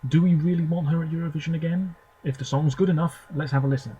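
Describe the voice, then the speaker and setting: A man's voice: fairly high pitch, steady tone, quick pace, dark tone, dull audio, slight background noise. Man giving hesitant song reactions. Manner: hesitant, short reactions between clips. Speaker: video host reviewing contest songs. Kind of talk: casual off-the-cuff commentary.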